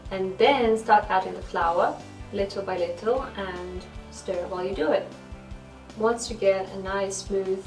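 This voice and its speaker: A woman talks through a recipe in a conversational tutorial style. The recording is roomy and a little distant from the mic. Music plays underneath her voice. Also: medium pitch. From Lithuania. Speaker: home baker and video host.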